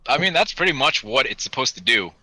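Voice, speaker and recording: A man's voice: mid-range voice, big pitch swings, fast, bright, forceful tone, low-quality recording. A man co-hosting a podcast, trading casual banter with blunt wisecracks. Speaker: podcast co-host.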